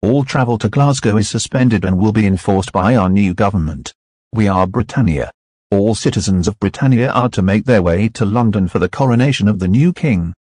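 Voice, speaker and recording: A man's voice: fairly low pitch, a little fast, darkish tone, dry recording, close to an everyday voice. A man playing a menacing herald of a totalitarian regime, making a formal, pompous announcement in a web drama. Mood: cold menace. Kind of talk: scripted propaganda announcement.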